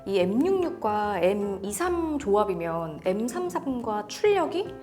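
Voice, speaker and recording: A woman co-hosts a conversational audio review in a medium-pitched voice. Her intonation is lively as she agrees and asks questions, with faint music underneath. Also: a little slow.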